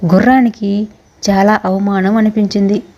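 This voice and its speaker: A woman's voice: mid-range voice, fairly even intonation, moderate pace, mellow. A woman narrating a scripted children's moral story as the storyteller.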